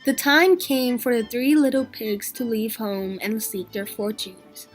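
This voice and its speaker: A woman's voice: fairly high pitch, fairly even intonation, unhurried. A young woman, a student, reads a scripted narration for a school project. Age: teens to young adult. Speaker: student presenter. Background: background music.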